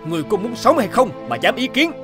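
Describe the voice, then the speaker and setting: Greedy, pompous cartoon overlord: highish, very animated delivery, mocking and blustering, over a music bed. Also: male voice, fairly quick. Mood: domineering. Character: arrogant, bullying, greedy feudal lord, a fat man. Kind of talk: animated folk tale dub acting. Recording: music under the voice.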